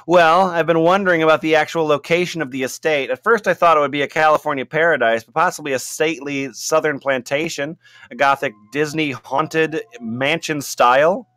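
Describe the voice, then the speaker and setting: A man playing an excitable, easily distracted artist who chatters and exclaims in a story reading. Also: fairly high pitch, brisk, no echo, lightly acted. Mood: rambling, bemused.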